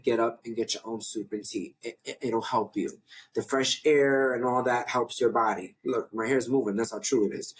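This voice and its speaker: A man from New York with a deep voice, hosting his own online show in a casual, rambling talk to camera that often restarts mid-sentence. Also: breathy edge, low-quality recording. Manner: chatty, rambling, self-interrupting. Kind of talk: casual talking-to-camera update.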